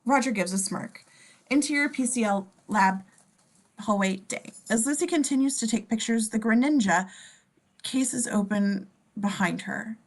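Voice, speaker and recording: A woman's voice: on the high side, normal speed. A woman narrating as scene description reader, reading screenplay stage directions aloud at a table read.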